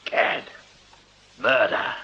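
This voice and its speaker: An actor playing a breezy Victorian army major with an upper-class English accent, talking in clipped, blustery sentences for radio drama. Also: male voice, extremely slow, bright, forceful tone. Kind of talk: British radio drama acting. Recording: dull radio drama sound with noise.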